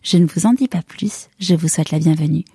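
A woman's voice: low-pitched, brisk, mellow, husky, no room sound, clean studio sound. A woman hosting an interview podcast, reading a scripted welcome and then asking questions with some hesitation. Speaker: podcast host.

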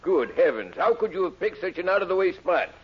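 An actor playing a fussy, easily exasperated doctor in a 1940s radio sitcom, blustering and excitable. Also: male voice, sits high, fairly quick. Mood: flustered, exasperated. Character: flustered, fussy male small-town doctor, easily exasperated. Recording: muffled, noisy old 1940s radio recording.

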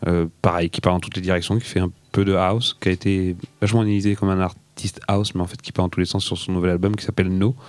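A man's voice: sits low, level tone, fast talker, few pauses, darkish tone, no room sound. A French-speaking man, a musician guest, chatting casually on a radio show and rambling with many restarts.

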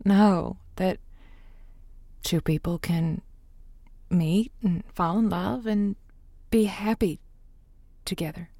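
A woman's voice: medium pitch, steady tone, slow pace, pauses often, warm tone, dry recording. An actress playing an effusive, coaxing female radio host who gives chatty, encouraging romance advice in an audio drama. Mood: playful. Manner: effusive, coaxing, pet names for listeners. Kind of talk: semi-improvised audio drama, late-night call-in radio host.

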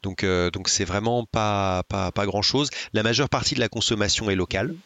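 A man telling stories in a casual chat, hesitant, with many pauses and restarts. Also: on the low side, fairly bright tone, no echo. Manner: hesitant, many filler sounds and restarts, storytelling. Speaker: podcast guest.

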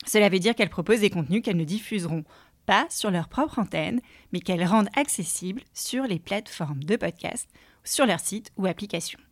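A woman's voice: lowish voice, no echo. Playful woman podcast coach, dry sound. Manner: playful, teasing direct address. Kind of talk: podcast lesson.

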